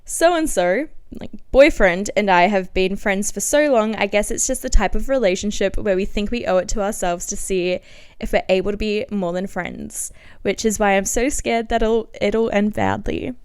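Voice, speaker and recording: Clear-voiced young Australian woman, casually confessional. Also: mid-range voice, moderate pace. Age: 20s. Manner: animated, self-mocking, giggly asides, frequent "like". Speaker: podcast host. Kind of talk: casual, confessional podcast storytelling and diary reading.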